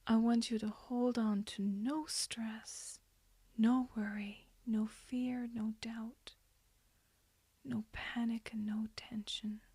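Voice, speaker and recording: A woman with a soft, breathy, whispery voice leading a guided hypnosis meditation in a soothing, gently instructing way. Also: fairly high pitch, level tone, takes it slowly, no echo. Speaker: hypnotherapist guiding a session.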